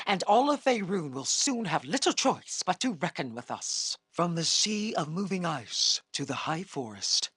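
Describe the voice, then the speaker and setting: A woman playing a proud, menacing half-dragon army commander in a fantasy video game, imperious and scornful, with a husky rasp. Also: fairly low pitch, no echo, studio quality, exaggerated voice. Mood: defiant.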